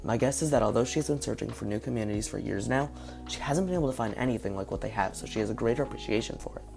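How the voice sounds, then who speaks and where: Hoarse male reviewer, quick delivery, thinking aloud through his guesses, faint music behind. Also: medium pitch. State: hoarse, partly lost voice. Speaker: video reviewer. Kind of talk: casual video commentary.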